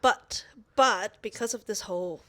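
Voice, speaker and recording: Woman, 30s, podcast co-host, mid-pitched, slightly stuffy, rambling conversational delivery. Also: fairly bright tone. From Australia. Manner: rambling, self-interrupting, quick to laugh. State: a little sniffly. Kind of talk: casual chat.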